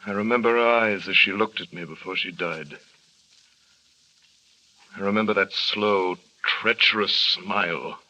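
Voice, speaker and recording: A tormented husband, bright edgy tone, muffled old radio. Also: male voice, measured pace, pauses often, heavily acted. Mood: despairing. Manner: grave, low-spoken confession. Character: tormented guilty husband, grown man, brooding. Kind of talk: 1940s radio acting.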